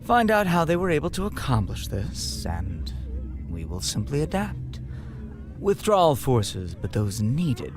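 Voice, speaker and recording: An actor playing a cold, commanding male vampire war leader in an audio drama, terse, deliberate and imperious. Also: mid-range voice, wildly swooping pitch. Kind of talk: audio drama villain acting. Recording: music under the voice. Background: clear music.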